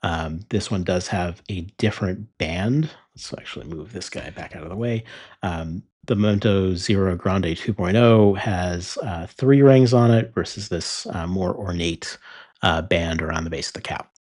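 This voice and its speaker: A man hosting his fountain pen channel, talking through a pen review in a relaxed way with many ums and uhs. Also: low-pitched, moderate pace, slightly rough. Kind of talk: casual product review.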